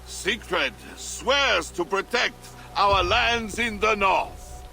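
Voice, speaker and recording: A man's voice: high voice, takes it slowly, gravelly, sharp tone, faint music behind, full character voice. An actor playing an imperious, brittle Frankish king in a video game cutscene, haughty and commanding. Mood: formal.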